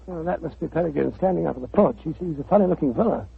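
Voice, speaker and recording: An actor playing a loyal, grumbling doctor companion who speaks plainly, in 1940s radio acting. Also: male voice, fairly high pitch, very fast, creaky, slight background noise. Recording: dull old radio recording.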